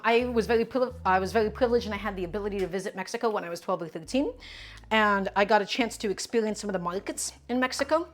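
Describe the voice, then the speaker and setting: A woman on a live cooking stream, talking to chat step by step in an upbeat way and often saying "guys" and "okay". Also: on the high side. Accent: her own distinct accent, not Brooklyn.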